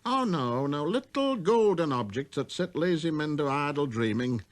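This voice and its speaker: A man's voice: a little high, expressive, normal speed, pitched above the performer's own voice, lightly acted. A man voicing a busy, self-important middle-aged businessman in a storybook, irritable and muttering, hesitating over his figures.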